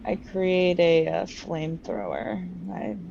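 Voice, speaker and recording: Low, creaky-voiced woman gamer. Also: takes it slowly, warm tone. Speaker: player in tabletop game. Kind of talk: casual game chat. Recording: dull muffled mic, faint noise.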